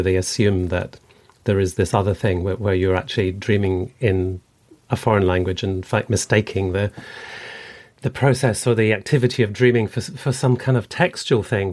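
A male interviewer in easy conversation, building his thoughts out loud. He keeps checking in with tag questions as he talks. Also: fairly low pitch. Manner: musing, builds ideas aloud with tag questions. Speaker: podcast interviewer.

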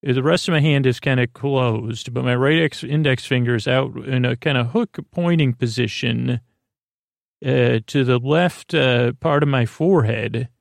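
A man hosting a bedtime podcast in a creaky voice, with a soothing, halting, meandering delivery that drifts into tangents. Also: medium pitch, no echo, clean studio sound. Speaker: podcast host. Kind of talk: rambling bedtime podcast monologue.

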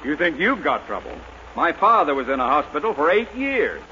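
Irritable passenger, somewhat high voice. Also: male voice, sing-song, brisk. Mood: one-upping, doleful. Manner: exasperated, one-upping. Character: irritable, impatient male cab passenger. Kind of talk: 1940s radio situation comedy acting. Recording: noisy dull 1940s radio recording.

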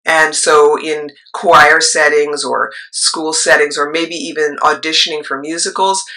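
A middle-aged woman with a low voice, a choir leader and actress, telling her story in a rambling interview style with asides. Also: steady tone, unhurried, pushed and bright, rough recording. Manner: rambling, with asides and trailing thoughts.

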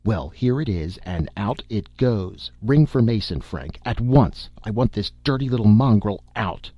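A man's voice: lowish voice, animated, measured pace, no echo, highs cut off, pitched above the performer's own voice. A man voicing a haughty, exasperated young society wife and mother, snappish and imperious, in an audiobook story. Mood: indignant, shrill.

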